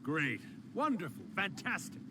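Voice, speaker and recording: A man's voice: high-pitched, very animated, a little slow, background noise. An actor playing a playful, centuries-old male fox spirit in a video game, with a theatrical, teasing drawl. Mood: fond. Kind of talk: animated video game dialogue acting.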